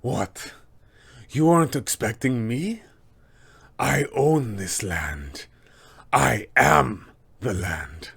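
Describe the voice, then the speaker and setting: A man's voice: mid-range voice, expressive, drawn-out words, pauses often, fairly bright tone, heavily acted. A man voicing an arrogant, menacing vampire lord with formal, theatrical villain speech for a tabletop game. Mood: imperious, mocking. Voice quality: husky, gravelly, sometimes whispered.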